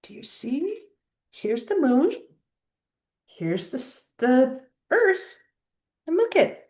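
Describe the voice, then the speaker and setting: Older lady reading a kids book out loud, kinda high voice, really expressive and lilting. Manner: expressive, pausing to point out pictures. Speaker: grandmother reading aloud to a child. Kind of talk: children's picture book read-aloud.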